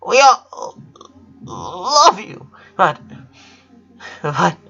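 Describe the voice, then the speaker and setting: A man voicing a distressed, clingy tomboyish teenage big sister in a story reading, halting and pleading. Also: high-pitched, huge pitch swings, gravelly, pushed and bright, breathy edge, dull audio, pitched above the performer's own voice, heavily acted. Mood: desperate, tearful.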